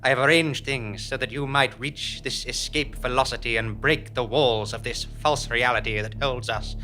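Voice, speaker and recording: A man's voice: medium pitch, moderate pace, bright, forceful tone, soft background music, lightly acted. An actor playing a courteous, formal, portentous male nemesis who rules a false reality in a theatrical audio drama. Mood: resigned, solemn.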